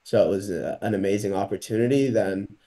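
A young man from Portugal talks in a low, mellow voice over a video call. He sounds relaxed and hesitant, pausing often with "um," and he is picked up by a laptop mic in a small room. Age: young adult. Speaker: college tennis player guest. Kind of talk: casual video-call interview.

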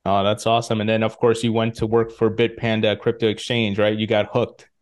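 A man's voice: lowish voice, little pitch movement, fairly quick. Enthusiastic male podcast host. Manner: enthusiastic, friendly. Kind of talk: interview hosting. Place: home studio room.

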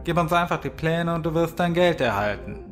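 A man's voice: fairly high pitch, animated, fairly quick, faint music behind, close to an everyday voice. A man playing a smug, threatening henchman in an audio drama. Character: threatening male henchman, smug, trigger-happy.

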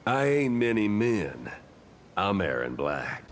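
A man's voice: lowish voice, sing-song, takes it slowly. A man playing a flirtatious, swaggering outlaw gunslinger in a video game, with cocky talk. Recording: long reverb, some background noise.

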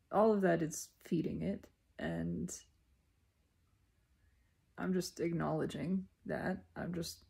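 A woman giving a reflective spiritual monologue in a deliberate manner, pausing mid-sentence and speaking in short phrases. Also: on the low side, gravelly, mellow, husky. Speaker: spiritual self-reflection vlogger.

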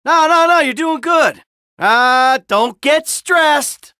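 A man's voice: extremely high pitch, slow, sharp tone, no echo, studio quality, full character voice. An actor playing a sarcastic, hot-tempered, middle-aged American man in a midlife crisis, in short exasperated video game lines. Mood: frustrated, self-coaxing. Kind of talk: video game character barks.

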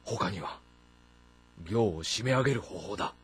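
An actor playing a shrewd, commanding middle-aged warlord of ancient China in a game drama, speaking in a measured, brooding way. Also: male voice, on the low side, animated, a little hiss or hum, natural voice. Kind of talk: Japanese historical drama game acting. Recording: music behind the voice. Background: background music.

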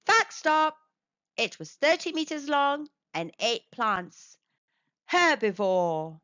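Bright, lively, high-voiced woman reading to children. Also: takes it slowly, no room sound, dull audio. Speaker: children's storybook reader. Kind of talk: scripted read-aloud narration for children.

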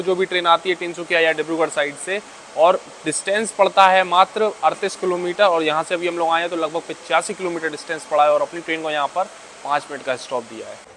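A chatty man with a fairly high voice, vlogging a train trip and talking straight to his viewers as he goes. Faint train rumble sits behind him on a handheld camera mic. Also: a bit bright. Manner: chatty, addresses viewers as bhai. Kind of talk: casual vlog narration. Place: aboard a moving train and on station platforms.

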